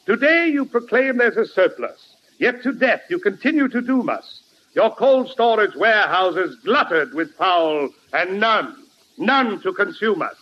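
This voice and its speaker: High-voiced pompous poet. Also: male voice, full character voice. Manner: grandiose, declaiming rhymes theatrically. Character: pompous hammy male street poet. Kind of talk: 1940s radio comedy acting. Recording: dull, hissy old radio recording.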